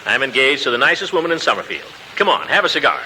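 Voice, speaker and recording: Blustery small-town bigwig, noisy old recording. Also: male voice, medium pitch, big pitch swings, fast talker, pushed and bright. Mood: jovial, proud. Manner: hearty, blustering, chuckling. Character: blustery, jovial middle-aged small-town commissioner in love. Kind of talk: 1940s radio comedy acting. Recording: noisy 1940s radio recording.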